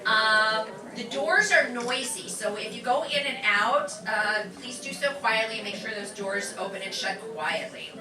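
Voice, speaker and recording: A woman's voice: a little high, unhurried, hardly any pauses, a crowd in the background. A woman teacher giving a chatty, upbeat welcome and announcements to a live audience, full of fillers. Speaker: class instructor welcoming an audience. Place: community center performance room. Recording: echoey room from far off.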